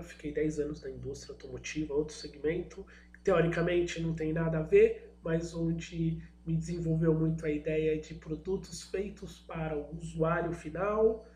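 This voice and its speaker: A middle-aged man with a Brazilian accent gives an informal presentation in a slightly hoarse voice. He speaks hesitantly, often searching for the next word. The room is echoey, with a faint hum underneath. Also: high-pitched, mellow. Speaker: guest speaker, product designer and innovation agent.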